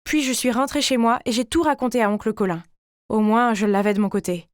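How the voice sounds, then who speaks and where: High-voiced woman narrating a dramatic story. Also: brisk, no room sound, studio quality. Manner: theatrical, expressive, as a teenage girl telling her own story. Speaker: story narrator in the first person.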